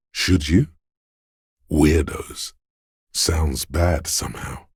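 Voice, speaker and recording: A man voicing a paranoid, aggressive inner voice of primal fear in a video game, menacing, urgent and ominous, with a gravelly, husky sound. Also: very deep voice, dry recording, studio quality, heavily acted. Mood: tense, menacing. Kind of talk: video game voice acting, second-person dramatic narration.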